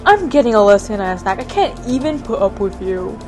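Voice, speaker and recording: A woman's voice: mid-range voice, big pitch swings, fairly quick, darkish tone, soft background music, natural voice. A woman playing an earnest, exasperated teenage son, pleading emphatically in an amateur game roleplay.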